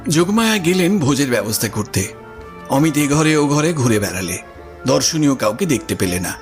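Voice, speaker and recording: A man narrating a literary story in an expressive, scripted audio drama style. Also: medium pitch, normal speed. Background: music.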